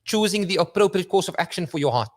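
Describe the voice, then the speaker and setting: A man's voice: high-pitched, animated. A man co-hosting a podcast, explaining ideas in a casual conversational way. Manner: quick, explanatory, mixes in Afrikaans slang. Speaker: podcast co-host.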